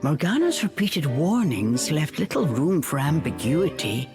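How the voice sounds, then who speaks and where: A woman narrating a fairy tale for a video game in an expressive, measured storytelling manner. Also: deep voice. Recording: faint music behind the voice.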